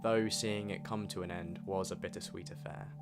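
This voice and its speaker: A man's voice: deep voice, fairly even intonation, moderate pace, loud music behind the voice. A young British man reading a scripted, reflective voice-over narration as a video creator. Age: young adult. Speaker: video creator narrating own year review.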